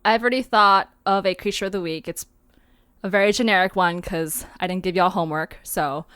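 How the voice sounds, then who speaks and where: A woman's voice: medium pitch, steady tone, brisk, fairly bright tone, clean tone, no echo. A woman hosting a podcast in a casual, chatty style. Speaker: host of a fiction podcast, cast member.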